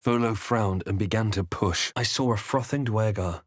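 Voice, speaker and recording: Brooding male game hero, played by a man, grave storyteller delivery, lowish pitch, close dry sound. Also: normal speed, dry recording, lightly acted. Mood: grave, dramatic. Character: male adventurer hero, composed, brooding, recounting his journey. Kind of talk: video game voice lines, dramatic first-person narration.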